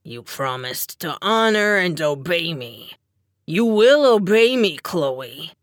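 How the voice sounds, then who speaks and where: A woman's voice: fairly low pitch, big pitch swings, no room sound, clean studio sound. A woman voicing a drunk, domineering young husband in an audiobook, slurring and menacing as he speaks. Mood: menacing, commanding. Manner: slurred, slow, controlling. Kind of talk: audiobook character dialogue.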